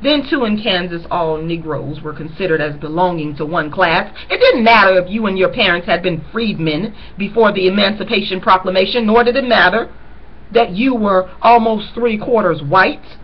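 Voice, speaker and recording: A woman's voice: mid-range voice, highs cut off. A woman reading a novel aloud chapter by chapter. She stops now and then to point out important lines to her listeners in an earnest voice. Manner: earnest, pauses to stress key lines to listeners. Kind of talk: reading aloud with personal commentary.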